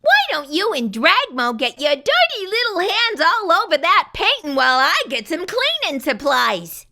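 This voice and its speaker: A short-tempered, exasperated storybook character, acted out by a woman, scolds in dramatic bursts. The voice is very high and bright, and it leaps around with lively exclamations. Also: moderate pace, heavily acted. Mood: scheming. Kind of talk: animated children's storybook read-aloud.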